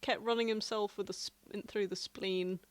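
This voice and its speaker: A woman's voice: mid-range voice, fairly even intonation, moderate pace, a little hiss or hum. A woman co-hosting a podcast in casual banter, with a playful, wry manner. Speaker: podcast co-host.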